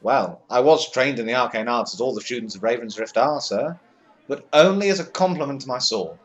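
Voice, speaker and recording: An actor playing a polite, wary young knight-magus, formal and deferential, in a fantasy audio drama. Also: male voice, mid-range voice, lively intonation, fairly quick, close to an everyday voice. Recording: slightly dull, muffled sound.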